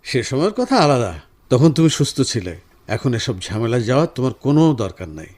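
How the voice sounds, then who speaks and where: A man's voice: medium pitch, very animated, fast, a little mellow, no echo, natural voice. A man acting a busy husband in an audio drama. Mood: soothing, evasive. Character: busy husband, placating at home, later frantic. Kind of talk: Bengali radio drama acting. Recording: music playing behind the voice.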